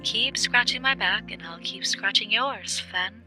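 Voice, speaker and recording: A woman playing a brisk, wry, helpful investigative journalist in a naturalistic audio drama. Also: mid-range voice, bright, forceful tone, soft background music, low-quality recording. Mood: wry, pleased.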